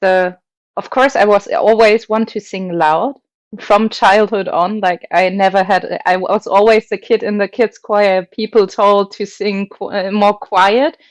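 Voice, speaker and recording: A woman's voice: medium pitch, fairly even intonation, measured pace, no room sound. Dry-voiced woman, hesitant opera guest. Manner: thoughtful, hesitant, many fillers and restarts, laughs often. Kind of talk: casual interview chat.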